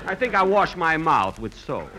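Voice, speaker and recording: An actor playing a lazy, jolly villager who speaks broken English with a put-on Spanish accent and giggles constantly, in 1940s radio comedy style. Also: male voice, a little high, very animated, normal speed. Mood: giggly. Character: lazy, jolly Latin American villager man. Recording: noisy old radio broadcast.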